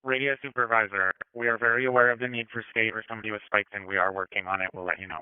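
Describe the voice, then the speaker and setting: A man's voice: lowish voice, steady tone, racing, clear, resonant tone, no echo. A man on two-way police radio, relaying information in a matter-of-fact way. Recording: narrow-band police scanner radio audio.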